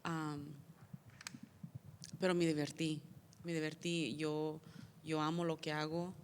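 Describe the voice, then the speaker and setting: Soft halting woman fighter. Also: low-pitched, little pitch movement, slight background noise. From United States. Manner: halting, restarts often, soft-spoken. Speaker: MMA fighter at press scrum. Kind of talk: media day interview answers. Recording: reverberant hall.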